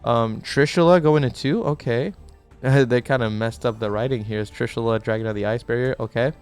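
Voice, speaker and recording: A young man hosting a gaming channel, chatting in a rambling, excitable way while sick with a cough, with a mic set close to him. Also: mid-range voice, brisk, clear, resonant tone, soft background music. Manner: excitable, rambling, swears casually. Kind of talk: casual reaction commentary. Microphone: desk mic set too close.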